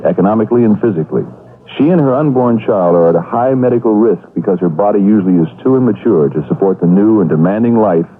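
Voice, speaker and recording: A man announcing an earnest, measured public service appeal. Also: deep voice, breathy edge. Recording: tinny old radio broadcast.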